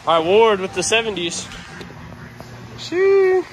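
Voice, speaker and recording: A young man vlogging on his phone with friends, casual and excitable, shouting over a crowd at a basketball game. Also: high-pitched, takes it slowly, soft background music, low-quality recording. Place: school gym, then packed basketball gymnasium. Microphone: phone held at arm's length. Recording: loud crowd noise in a gym.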